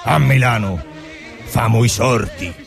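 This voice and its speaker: An actor playing a cynical Roman gang boss in a satirical stage sketch, dismissive and matter-of-fact. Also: male voice, medium pitch, measured pace, gravelly, over music.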